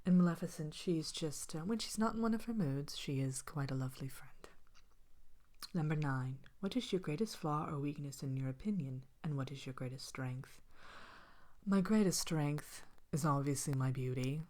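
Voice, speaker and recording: A woman playing a vain, haughty evil queen from a fairy tale, speaking softly and often whispering in an ASMR character monologue. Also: low-pitched, lively intonation, normal speed. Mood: vain, amused. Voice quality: soft-spoken, often breaking into whisper. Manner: haughty, languid, dryly wicked. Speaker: character host of an ASMR show.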